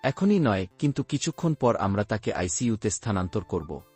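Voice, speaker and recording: A man playing a grave hospital doctor in an audio story, speaking in a measured, formal way. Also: fairly low pitch, big pitch swings, darkish tone, breathy edge. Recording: music under the voice.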